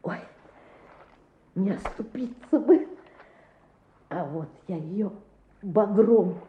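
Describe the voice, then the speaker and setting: A woman's voice: medium pitch, lightly acted. A woman playing a sly, poor old villager who mutters to a dog in a radio play. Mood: gleeful, greedy. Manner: slow muttering to self and dog. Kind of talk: Soviet-style radio play acting. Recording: very muffled, echoey, noisy old radio recording.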